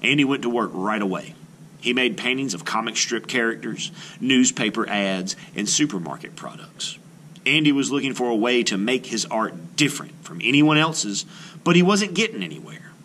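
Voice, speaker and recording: Bright-voiced man reading aloud, lively pitch. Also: normal speed, a little hiss or hum. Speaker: art teacher. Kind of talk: read-aloud of a children's book with teaching asides. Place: indoors, book filmed on a table.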